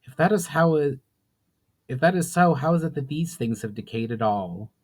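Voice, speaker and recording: A man's voice: a little high, expressive, normal speed, darkish tone, husky, pitched above the performer's own voice. A man playing a curious, forthright male elf hero in a dramatic fantasy audiobook, speaking with emphatic, questioning delivery. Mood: puzzled. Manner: emphatic, inquisitive.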